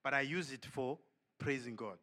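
A man preaching a sermon with lively energy, laughing and firing questions at the congregation. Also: medium pitch, normal speed.

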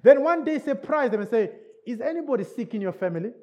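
Non-native english preacher guy, high voice swinging all over, keeps asking the crowd if they're with him. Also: fast talker. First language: not English. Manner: lively, checks in with listeners with repeated questions; repeats key words for emphasis. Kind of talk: sermon. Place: church service in England.

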